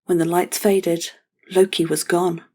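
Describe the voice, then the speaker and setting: A woman reading scripted fantasy narration for an audio drama in a measured, solemn way. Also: fairly low pitch, little pitch movement, mellow.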